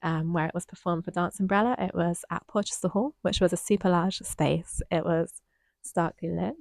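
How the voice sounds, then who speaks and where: A woman co-hosting a casual dance review, talking thoughtfully with frequent pauses and a slightly croaky voice. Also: lowish voice, fairly even intonation, darkish tone, no echo, studio quality. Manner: thoughtful, hesitant with frequent ums.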